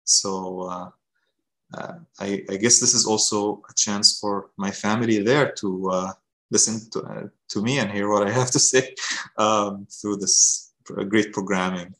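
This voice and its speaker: A man in his thirties from Gaza speaks in a somewhat low voice. He introduces himself on a webinar over a video call. He is earnest and halting, with frequent pauses. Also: fairly even intonation, fairly bright tone, poor audio. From Gaza, Palestine. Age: 30s. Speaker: guest fellow.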